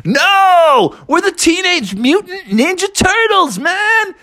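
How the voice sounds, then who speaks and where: A storyteller voicing a cocky, friendly teenage male mutant turtle in exuberant surfer slang with drawn-out exclamations. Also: very high voice, lively intonation, a bit bright, pitched above the performer's own voice, exaggerated voice. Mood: proud.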